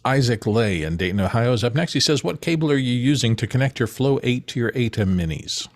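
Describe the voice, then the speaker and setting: A man's voice: mid-range voice, expressive, brisk. A man who reads out questions and chips in conversationally on an online panel. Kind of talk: reading out questions, conversational asides. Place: online video call.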